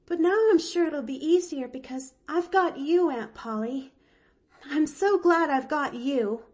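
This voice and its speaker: A woman's voice: high voice, measured pace, a little mellow, voice pushed up. A woman voicing a cheerful, eager little orphan girl who talks breathlessly and gushes. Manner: breathless, gushing, chattery. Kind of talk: audiobook character voice.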